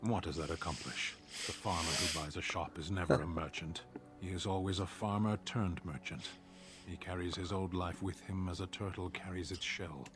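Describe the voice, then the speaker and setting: Man as stern Qunari game warrior, low voice, blunt, measured, deadpan, music behind. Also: close to an everyday voice. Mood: stoic, blunt. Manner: terse, formal, deadpan, measured. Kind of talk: video game character acting.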